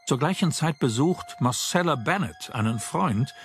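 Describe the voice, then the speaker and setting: A male documentary narrator with a medium-pitched voice. He reads scripted narration in a measured, dramatic storytelling manner, with music behind him. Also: animated, a bit bright.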